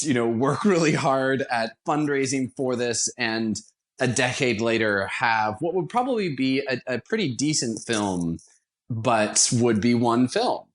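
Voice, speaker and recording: A man's voice: on the low side, a bit bright. A man talking casually and enthusiastically as an interview guest, with emphatic turns of phrase. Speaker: podcast guest, theater founder.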